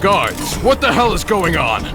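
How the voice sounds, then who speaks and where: An actor playing an arrogant, hot-tempered company boss, dismissive and commanding, in a video game cutscene. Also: male voice, high voice, wildly swooping pitch, a little slow, over music, heavily acted. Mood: angry.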